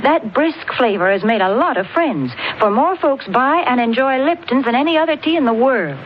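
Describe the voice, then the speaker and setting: A woman's voice: animated, normal speed. A woman delivering a chatty, emphatic sponsor's advertisement in 1940s radio style. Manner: chatty, emphatic sales pitch. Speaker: sponsor's commercial spokeswoman. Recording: muffled old radio broadcast, slight noise.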